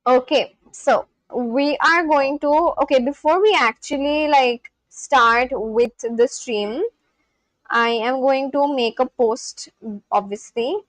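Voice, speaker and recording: Chatty young Indian woman, high voice. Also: slow, no echo, poor audio. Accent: Indian English. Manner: chatty, rambling, many fillers and restarts. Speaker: livestream host. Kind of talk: casual live-stream chat.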